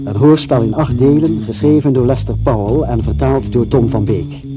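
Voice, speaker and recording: A man's voice: mid-range voice, moderate pace. A man formally announcing a radio play in a scripted style, as its announcer. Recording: dull old radio recording. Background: loud plucked guitar music.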